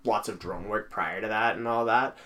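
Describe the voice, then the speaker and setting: A man's voice: lowish voice, fairly even intonation, normal speed, sharp tone. A man in his twenties from Canada, a guest in a casual interview, talking in an easygoing, conversational way. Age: 20s. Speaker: guest, drone videographer.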